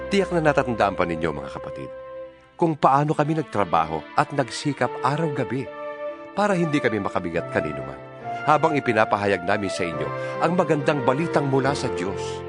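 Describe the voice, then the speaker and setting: A man with a Filipino accent giving a solemn, earnest dramatized reading of scripture as a scripted narrator. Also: mid-range voice.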